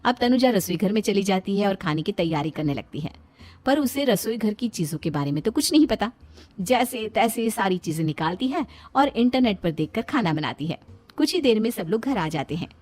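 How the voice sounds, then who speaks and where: A woman's voice: medium pitch, lively intonation, moderate pace, darkish tone. A woman reading a scripted moral family story as its narrator.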